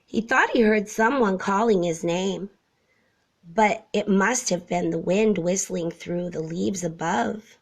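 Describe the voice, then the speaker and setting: A woman reading a children's story aloud in an expressive narrating style. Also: medium pitch, slow, vocal fry. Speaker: storybook reader.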